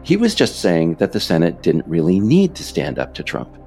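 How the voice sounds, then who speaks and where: A man reading scripted political narration as a podcast author, deliberate, with pointed pauses on key phrases. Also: low voice, wildly swooping pitch, darkish tone, faint music behind.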